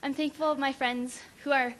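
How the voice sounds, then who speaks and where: High giggly young woman thanking people. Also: steady tone, normal speed, slight background noise. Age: young adult. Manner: giggly, chatty. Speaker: graduate giving thanks. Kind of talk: short ceremony thank-you speech. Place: graduation ceremony hall.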